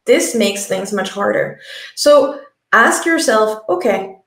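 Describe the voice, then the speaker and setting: A young woman with a Quebec French accent, giving a conference talk on a headset mic with earnest, explanatory, sometimes slow and emphatic delivery. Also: medium pitch, lively intonation, echoey room. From Quebec, Canada. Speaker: conference speaker. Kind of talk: presentation talk.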